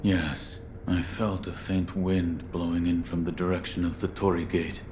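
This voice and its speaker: A man's voice: sits low, little pitch movement, unhurried, a little mellow, slight background noise. A man voicing a stoic, taciturn shinobi warrior in a video game, speaking in a terse, grave, restrained way. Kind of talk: English game voice acting.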